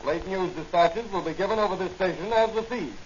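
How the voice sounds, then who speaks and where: Male actor as 1940s bulletin reader, formal, urgent, high-pitched, dull recording with faint noise. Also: fairly quick, close to an everyday voice. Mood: grave, formal. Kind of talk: 1940s news bulletin delivery. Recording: muffled, noisy old 1940 radio recording.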